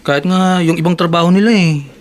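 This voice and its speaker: A man's voice: high voice, quick pace, lightly acted. Guy playing humble young office guy, earnest and polite, radio drama style. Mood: weary, earnest. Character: earnest, deferential young male office worker and devoted son. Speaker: actor. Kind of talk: Tagalog radio drama acting.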